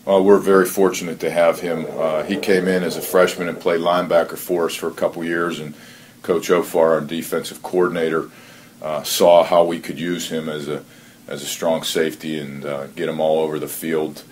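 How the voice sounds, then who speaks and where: A man working as a football coach, giving earnest, measured interview answers on a conference call and often repeating his phrases. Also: rumbling low, flat delivery, a little mellow. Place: conference call, faint noise behind. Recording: noisy conference call audio.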